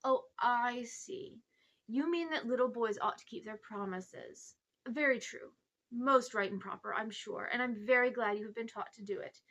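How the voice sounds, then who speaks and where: A woman's voice: a little high, normal speed, a bit bright. A woman voicing a pompous old uncle who dabbles in magic, condescending and evasive, in a storybook reading. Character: pompous, self-important old uncle, sly amateur magician.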